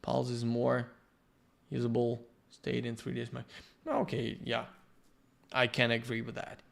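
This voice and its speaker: A man with a Ukrainian accent giving a live-streamed software lesson as the instructor, halting and restarting as he searches for words. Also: medium pitch, vocal fry. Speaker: workshop instructor.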